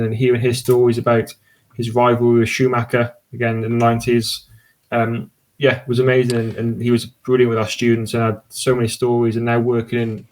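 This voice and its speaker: A man talks as a podcast guest in an easy, enthusiastic ramble. His voice sits at an ordinary middle pitch, and he often stops and restarts his sentences. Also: darkish tone, poor audio. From Cardiff, Wales. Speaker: podcast guest and sports podcast host. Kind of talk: casual podcast conversation.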